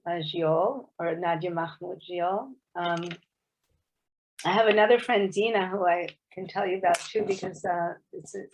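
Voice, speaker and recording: This is a woman with a somewhat low voice, talking as a guest author on a video call. She speaks thoughtfully and tentatively, pausing and restarting her phrases as she works out what she means. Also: slight background noise, low-quality recording. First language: English. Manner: thoughtful, hesitant, many restarts. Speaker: author guest at a book club. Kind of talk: casual explanatory talk on a video meeting.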